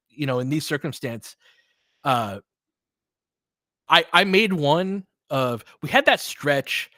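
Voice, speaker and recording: A man's voice: fairly high pitch, sharp tone, no room sound, studio quality. A man doing informal, partisan live basketball commentary as a fan host, talking casually with some mild swearing.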